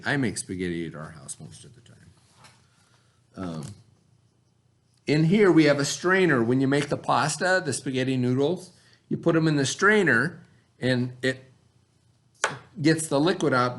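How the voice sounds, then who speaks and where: A man's voice: mid-range voice, fairly bright tone. A middle-aged man reading a lesson aloud to children as their teacher, with simple, instructive explanations.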